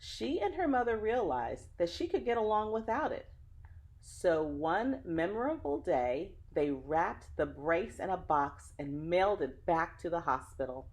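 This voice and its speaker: Expressive woman reading aloud, low-pitched, lively range. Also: measured pace, poor audio. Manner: expressive, dramatic phrasing, engages young listeners with questions. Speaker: teacher reading a picture book aloud to children. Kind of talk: children's read-aloud storytelling with asides to listeners.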